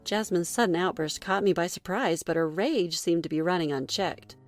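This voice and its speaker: A woman reading a scripted first-person story in a measured read-aloud manner. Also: on the low side, soft background music. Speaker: story narrator.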